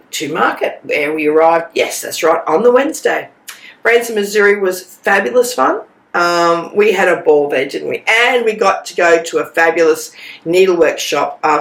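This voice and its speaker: An Australian woman with a cold, croaky and sleep-deprived, chatting and rambling as she co-hosts a stitching vlog from a hotel room. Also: lowish voice, reverberant. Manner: chatty, rambling, warm asides. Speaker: co-host. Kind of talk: casual chat.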